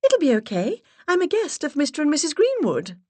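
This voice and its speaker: A woman's voice: huge pitch swings, a little fast, darkish tone, no room sound. Woman putting on a giggly, gushing English flirt. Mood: gushing, flirty. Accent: English, exaggerated. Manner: high, breathy, fluttery and effusive.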